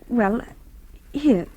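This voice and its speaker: A high-pitched, high-strung young woman in a vintage radio thriller. She speaks in halting, insistent, theatrical phrases, brittle with worry. The old recording carries audible noise. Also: warm tone. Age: young adult. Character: high-strung, anxious young heiress, aged twenty-two. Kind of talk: vintage radio drama acting and first-person narration.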